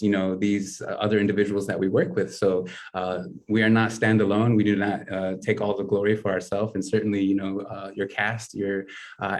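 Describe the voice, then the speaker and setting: Low, flat-voiced male podcast host. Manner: measured, earnest, many "uh" fillers.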